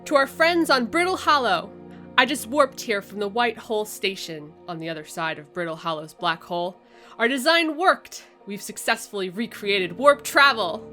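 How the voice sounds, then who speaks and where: A woman's voice: on the low side, sharp tone. A woman reading as earnest alien scientists. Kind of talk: reading in-game alien text aloud.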